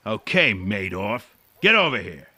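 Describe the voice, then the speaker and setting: An actor playing a brash small-town American constable, blunt and blustering. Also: male voice, lowish voice, very animated, measured pace, bright, forceful tone, heavily acted. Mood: commanding. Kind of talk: old detective film radio dramatisation.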